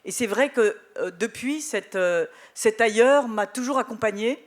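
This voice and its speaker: Animated woman storyteller from Belgium. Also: a little high, measured pace. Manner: animated, anecdotal storytelling with wry humor. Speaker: journalist guest at a book festival. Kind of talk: live panel conversation.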